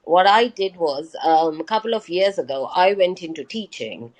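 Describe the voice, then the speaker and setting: A woman's voice: lowish voice, animated, normal speed. A woman doctor and lecturer, a guest in a live interview, explaining things patiently with many hesitant fillers. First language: not Hindi.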